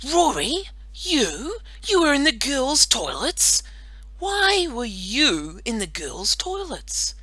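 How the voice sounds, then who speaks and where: A woman voicing a pompous schoolboy playing interrogator in a children's story, insistent and drawn-out. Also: high voice, wildly swooping pitch, pushed and bright, husky, no room sound, pitched above the performer's own voice, heavily acted. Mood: incredulous.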